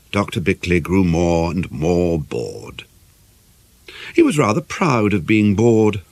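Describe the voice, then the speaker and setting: A man reading a novel as an audiobook narrator, in a measured, formal and expressive way. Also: low-pitched, no room sound. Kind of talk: scripted narration of a murder mystery novel.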